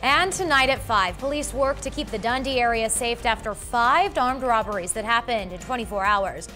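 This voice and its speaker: A woman's voice: fairly high pitch, normal speed, bright, forceful tone, faint music behind. An American woman reading scripted local news teases as a TV anchor.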